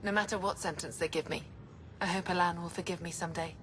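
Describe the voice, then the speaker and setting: A woman's voice: fairly low pitch, fairly even intonation, quick pace, a bit bright, a little hiss or hum, close to an everyday voice. An actress voicing a guilt-ridden woman scientist, tormented and stammering under strain, in a video game. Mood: anguished.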